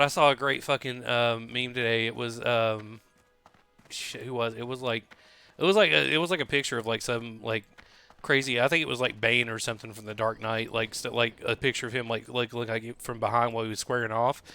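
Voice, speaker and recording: A man's voice: medium pitch, fairly even intonation, fairly quick, creaky, sharp tone, clear, resonant tone, no room sound. A man in his late thirties streaming a game, chatting casually in a rambling way with lots of "like" and restarts, swearing freely. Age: 30s. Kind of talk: casual chat while gaming.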